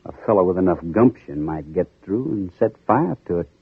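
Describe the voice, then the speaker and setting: Husky, folksy soldier. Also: male voice, low voice, expressive, brisk, no room sound, heavily acted. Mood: grave, sly. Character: folksy Southern corporal, secretly a cunning Union spy. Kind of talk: 1940s-50s radio drama acting. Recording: muffled narrow-band old radio recording.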